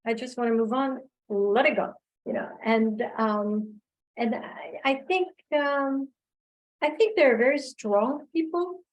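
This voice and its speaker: A woman giving thoughtful, reflective answers as a guest speaker in an online discussion, pausing as she finds her words. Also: a little high, poor audio. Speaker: guest speaker, filmmaker and oral history researcher. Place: remote online video call.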